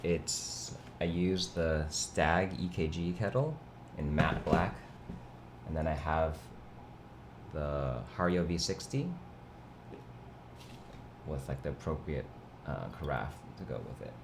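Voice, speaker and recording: A young man hosts a laid-back livestream, his voice sitting extremely low and full of vocal fry with a nasal edge. Mandarin was his first language, and he rambles easily while chatting. A faint hum of room noise sits under him. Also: slow pace, long pauses. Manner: laid-back, rambling, reads chat aloud. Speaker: livestream host. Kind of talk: casual chat.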